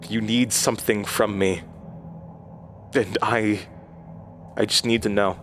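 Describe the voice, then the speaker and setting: Man playing a slow, halting, haunted man. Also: lowish voice, fairly even intonation, slight background noise. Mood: shaken, confused. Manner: halting, stammering, hesitant. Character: bewildered, haunted man seeking answers, anxious. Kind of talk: horror audio drama acting.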